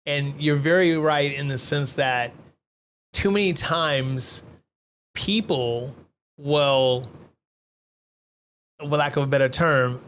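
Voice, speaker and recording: A man co-hosting a podcast, animated and exclamatory, often restarting his sentences. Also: on the high side, a little hiss or hum. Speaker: podcast co-host. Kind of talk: casual motivational chat.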